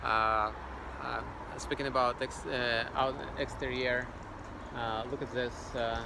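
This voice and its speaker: A man's voice: mid-range voice, flat delivery, slow pace, vocal fry. A man hosts a walking tour outdoors, speaking hesitantly with many fillers and restarts. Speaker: vlog host. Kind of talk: casual walking tour narration. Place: outdoors on a city street. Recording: loud street traffic noise.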